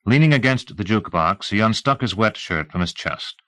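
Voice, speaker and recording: Low-voiced man narrating a novel. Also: lively intonation, normal speed, fairly bright tone, dry recording. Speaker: audiobook narrator.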